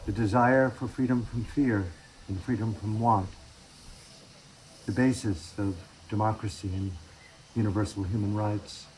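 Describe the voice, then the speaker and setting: A man's voice: on the low side, fairly even intonation, a little slow, a little mellow, echoey room, slight background noise, rough recording. A man speaking as a podcast host and meditation teacher.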